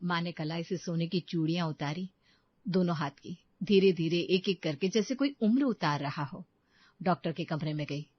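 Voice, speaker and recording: A woman's voice: lowish voice, moderate pace, dry recording, highs cut off. Woman storyteller, very dry recording. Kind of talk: scripted dramatic narration of a moral story.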